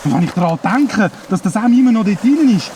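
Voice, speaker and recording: A man's voice: high-pitched, sing-song, fast talker, mellow, a little hiss or hum. An actor playing a worried, impulsive young boy in a Swiss German children's radio play, speaking urgently and full of questions. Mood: anxious.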